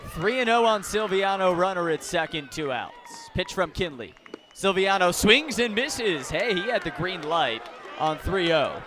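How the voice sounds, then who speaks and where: A man calling baseball play-by-play live on the radio, phrasing deliberately and swelling on the big plays. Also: high voice, sing-song. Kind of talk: live radio sports commentary. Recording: noisy outdoor ballpark with crowd. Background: ballpark crowd noise.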